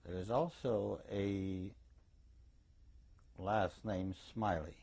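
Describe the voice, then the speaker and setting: Older man, gravelly Eastern European accent. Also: deep voice, darkish tone. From eastern Czechoslovakia. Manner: deliberate, anecdotal storytelling. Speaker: interviewee giving survivor testimony. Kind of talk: recorded oral history testimony.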